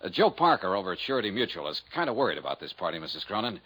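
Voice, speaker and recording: A man's voice: low-pitched, sing-song, very fast, a bit bright, husky, heavily acted. A man playing a wry, self-assured insurance investigator with clipped delivery, in 1950s radio detective style. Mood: polite, wry. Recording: noisy vintage radio drama recording.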